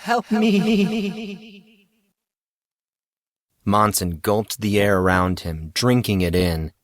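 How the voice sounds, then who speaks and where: A man's voice: fairly low pitch, fairly bright tone, no room sound, clean studio sound. A man reading scripted fiction as the narrator of a story.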